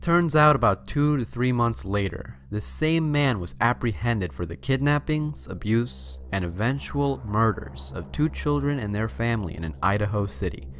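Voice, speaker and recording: A man's voice: medium pitch, expressive, moderate pace, hardly any pauses, a bit bright, slight background noise. A man hosting and reading a scary story as narration, with a theatrical, suspenseful delivery. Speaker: host and story reader.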